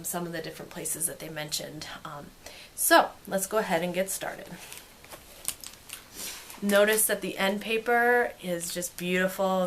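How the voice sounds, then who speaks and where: A woman presenting a bilingual picture-book read-aloud with casual commentary, expressive when reading and softer when commenting. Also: lowish voice, slight background noise.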